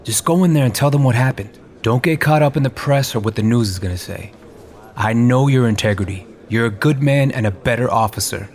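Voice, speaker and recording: A man's voice: mid-range voice, brisk, a little mellow, natural voice. A man playing a supportive, fatherly police chief in an audio drama, reassuring and firm.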